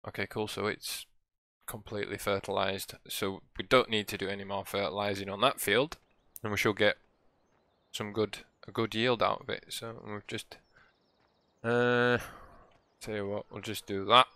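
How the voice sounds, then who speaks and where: A man with a croaky, hoarse voice, giving relaxed, rambling commentary as he plays a farming game for his channel. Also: lowish voice, moderate pace. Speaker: let's play host. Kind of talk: casual gameplay commentary.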